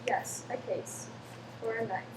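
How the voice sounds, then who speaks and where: A woman's voice: mid-range voice, fairly even intonation, unhurried. A woman giving dramatic scripted narration for a radio play story. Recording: echoey, distant mic, clear noise.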